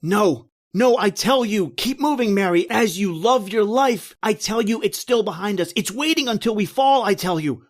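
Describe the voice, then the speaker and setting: An actor playing a terrified, desperate man recounting his flight from a monster, in intense old-time radio horror style with drawn-out, repeating phrases. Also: sits high, animated. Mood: desperate urging. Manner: intense, breathless repetition, drawn-out dramatic phrasing. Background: music.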